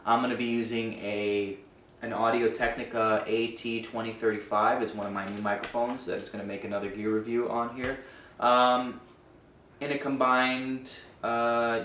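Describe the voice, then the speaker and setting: A man's voice: medium pitch, flat delivery, moderate pace, a bit bright. A man hosting a gear review video, explaining settings in an informal, hesitant, casual way. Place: indoor room with guitar amp. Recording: roomy, distant mic with faint noise.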